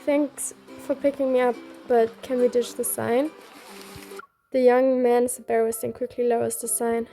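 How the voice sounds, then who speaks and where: A woman's voice: fairly high pitch, level tone, normal speed, mellow. A woman reading a game story aloud, both the narration and the dialogue. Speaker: reader. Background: faint music.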